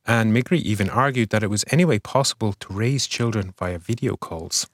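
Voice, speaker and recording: Low-voiced male news podcast host. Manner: measured, reading from script. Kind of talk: scripted news review.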